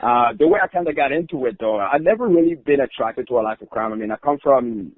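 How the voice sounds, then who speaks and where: A man's voice: medium pitch, animated, fast talker, no echo. A man from Rwanda, a podcast guest telling his life story with many hesitant fillers and restarts. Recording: tinny phone-like line.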